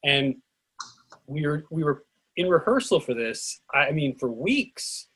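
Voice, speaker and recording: A man with a husky voice hosts a casual discussion. He talks in a halting, digressive way, like someone thinking aloud. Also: a little high, very animated, fairly bright tone. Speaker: actor and director hosting a play reading.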